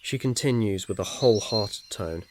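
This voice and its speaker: Casting: male ASMR storyteller, lower register, calm measured scripted narration over faint outdoor ambience. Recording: faint noise, nature ambience behind. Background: birdsong, insects, nature ambience.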